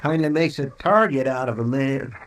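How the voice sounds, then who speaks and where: Anxious deputy, male actor: medium pitch, folksy and fretful, slightly noisy vintage radio sound. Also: moderate pace, lightly acted. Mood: nervous, pleading. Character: loyal, anxious, folksy deputy of a frontier marshal. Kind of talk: old-time radio western acting.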